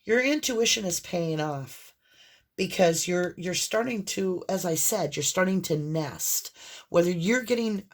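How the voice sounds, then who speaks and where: A woman with a hoarse voice and a mixed Southern and Northern American accent, giving a casual, rambling tarot reading straight to the viewer. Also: lowish voice, lively intonation, unhurried. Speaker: tarot reader.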